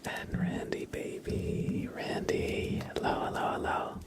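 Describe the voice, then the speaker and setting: A man hosting an ASMR livestream, whispering softly and casually close to the microphone, drawing out and repeating words. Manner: soft, drawn-out, repeats words slowly.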